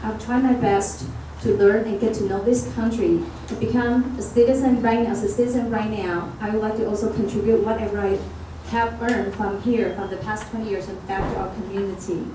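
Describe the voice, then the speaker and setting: A woman's voice: mid-range voice, fairly even intonation, a little fast. Woman w chinese accent, earnest candidate intro, roomy echo, noisy bg. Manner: earnest, non-native phrasing. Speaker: school board candidate. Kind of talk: candidate forum statement. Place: outdoor park event. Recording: echoey, far from mic, noisy.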